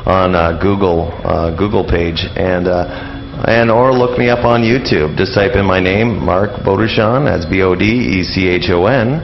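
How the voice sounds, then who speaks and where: An older man with a low, gravelly voice talks casually as an interview guest. He rambles and hesitates, pausing often as he explains. The audio is dull and lo-fi. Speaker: radio show presenter, interview guest.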